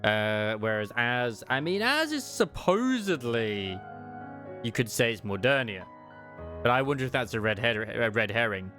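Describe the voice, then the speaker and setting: Lively man thinking aloud over a game. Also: mid-range voice, measured pace, faint music behind. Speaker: game streamer. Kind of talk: live gameplay commentary and lore speculation.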